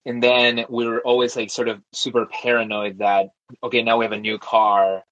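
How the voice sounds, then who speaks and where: A young man from Argentina, a guest talking casually in an interview, relaxed and talkative with frequent fillers and restarts. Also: medium pitch, moderate pace, muffled recording. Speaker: guest, tech founder.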